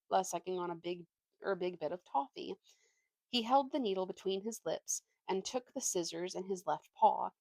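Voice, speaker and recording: A woman's voice: fairly low pitch, gravelly, darkish tone, no room sound. Woman reading a storybook, very dry sound. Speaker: storybook reader. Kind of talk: expressive read-aloud narration.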